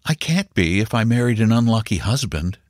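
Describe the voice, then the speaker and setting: A man's voice: fairly low pitch, sing-song, fairly quick, dry recording, studio quality, voice pushed up. A man voicing a bitter, weary mother explaining things to her child in a story reading. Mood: bitter, resigned.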